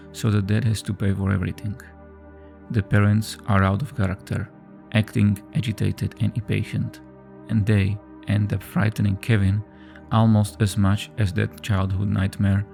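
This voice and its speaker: A man reading a scripted horror story as a narrator, in a measured, subdued storytelling manner. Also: on the low side, flat delivery, vocal fry, warm tone. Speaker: creepypasta narrator. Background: background music.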